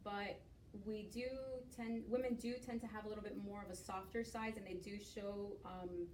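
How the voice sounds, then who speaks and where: A woman's voice: medium pitch, level tone, normal speed, a little mellow. A young woman from Miami leads a class presentation conversation, hesitant with many fillers. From Miami, United States. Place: skills lab room. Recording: roomy echo, mic at a distance, faint noise.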